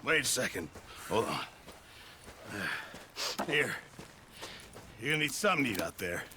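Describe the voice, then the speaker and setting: A man's voice: mid-range voice, big pitch swings, unhurried, noisy background. An actor voicing a middle-aged outlaw camp cook and former sailor in a Western video game, blustering and long-winded as he complains. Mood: urgent.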